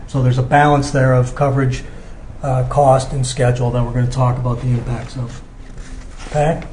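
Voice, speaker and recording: A man's voice: mid-range voice, a little mellow. An American man giving an explanatory technical presentation as a company representative, checking in with his listeners as he goes. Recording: echoey room with background noise.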